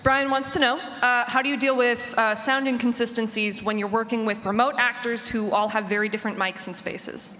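Woman, panel moderator, very bright voice, informal, hall reverb, faint noise. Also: fairly high pitch, steady tone, brisk, hardly any pauses. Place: convention room with audience. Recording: echoey hall with background noise.

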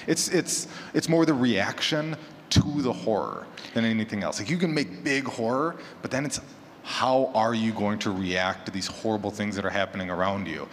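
A man's voice: lowish voice, normal speed. A man speaking casually as a panelist at a live discussion, in a conversational way with self-deprecating asides. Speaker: panelist, horror fiction podcaster. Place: large echoey room at a convention panel. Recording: echoey hall, noticeable background noise.

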